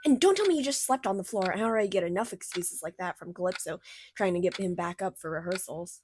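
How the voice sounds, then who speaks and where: A woman voicing a bubbly, caring female cartoon character in a radio play, chatty, motherly and expressive. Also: fairly low pitch, fast, slight background noise, lightly acted. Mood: concerned. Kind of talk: fictional radio theater acting.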